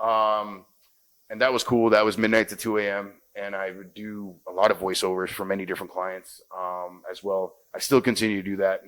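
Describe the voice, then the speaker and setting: Jamaican guy, deep smooth radio voice, laid back, lots of you knows. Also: low-quality recording. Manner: relaxed, many fillers like "you know". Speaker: actor and radio host guest. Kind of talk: casual interview chat.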